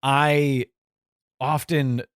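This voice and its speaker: A man's voice: medium pitch, flat delivery, extremely slow, smooth, dry recording, clean studio sound. A man in his forties, possibly, co-hosting a podcast in casual chat, rambling and self-correcting with a joking tone. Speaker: podcast co-host.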